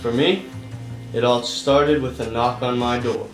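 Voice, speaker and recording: A man's voice: mid-range voice, fairly even intonation, a little slow, distant mic, soft background music, close to an everyday voice. A man playing a wisecracking hard-boiled private eye, roomy sound. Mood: wry narrating. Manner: wisecracking, slangy tough-guy patter. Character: hard-boiled, cynical male private investigator, money-minded. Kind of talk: noir radio-play narration and dialogue.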